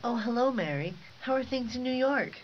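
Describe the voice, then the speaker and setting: A man's voice: very high voice, animated, a little fast. A man playing a chatty, breezy housewife friend with a gossipy manner, in 1950s radio drama style. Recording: dull lo-fi radio recording, faint hiss.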